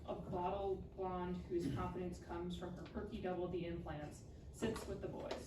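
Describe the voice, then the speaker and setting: A woman's voice: on the low side, flat delivery, normal speed. A woman reading scripted screenplay narration aloud at a live table read. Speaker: stage directions reader. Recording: echoey room, distant mic, clear noise.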